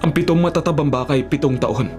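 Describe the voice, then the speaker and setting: An actor playing a humble, devout Hebrew dream interpreter in a respectful, earnest radio drama style. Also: male voice, on the high side, quick pace, darkish tone, close to an everyday voice. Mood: solemn, earnest. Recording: radio drama over clear background music.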